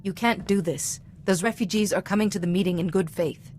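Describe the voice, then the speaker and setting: A woman's voice: medium pitch, darkish tone, a little hiss or hum, close to an everyday voice. Woman playing composed, wise Jedi mentor. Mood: firm, principled. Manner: measured, instructive, formal. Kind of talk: scripted video game voice acting.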